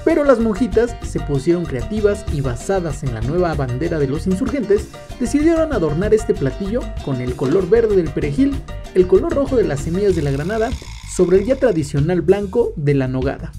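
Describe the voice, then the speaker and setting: Measured Mexican narrator over music. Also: male voice, sits high. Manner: even, measured storytelling. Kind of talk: scripted history narration.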